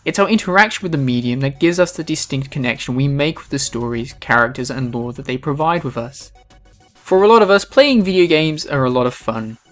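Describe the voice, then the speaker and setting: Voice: mid-range voice, lively intonation, a little fast, soft background music. A video essay host giving scripted, opinionated commentary with an emphatic delivery.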